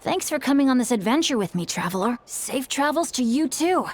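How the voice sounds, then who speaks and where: A woman playing a sweet, earnest, sentimental young adventurer in a video game, speaking in a heartfelt, expressive way. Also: high voice, brisk, background noise. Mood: fond farewell.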